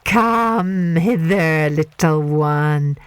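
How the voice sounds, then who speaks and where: A woman voicing a sly, menacing male crocodile with a slow, coaxing drawl in a storybook reading. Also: sits low, clear, resonant tone, no echo.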